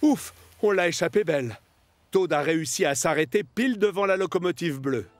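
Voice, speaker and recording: A man's voice: on the high side, a little fast, no echo, close to an everyday voice. A man with a standard French accent narrating a children's cartoon in a scripted storytelling style. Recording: music behind the voice.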